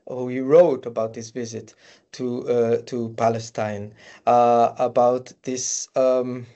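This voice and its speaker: A man from Israel whose first language is Hebrew, speaking haltingly with many fillers and restarts as a guest in a live panel conversation. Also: medium pitch, level tone, warm tone, highs cut off. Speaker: guest writer.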